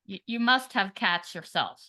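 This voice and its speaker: A woman co-hosting a podcast with a bright, forward voice. She rambles casually, leaning on "you know" while chatting with her guests. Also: medium pitch, lively intonation, a little slow. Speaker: podcast co-host. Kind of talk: casual interview chat.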